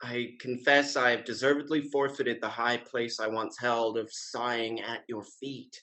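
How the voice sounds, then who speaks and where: A man playing a suave, flattering gentleman in a period comedy, speaking in measured, florid, pleading phrases. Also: medium pitch, lightly acted. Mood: contrite, pleading. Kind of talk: scripted stage comedy acting.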